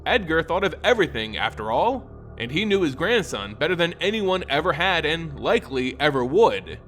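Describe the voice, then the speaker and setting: A man narrating a scripted fantasy story in a theatrical, measured, dramatic way. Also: fairly high pitch, very animated, a bit bright. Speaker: lore channel narrator. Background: background music.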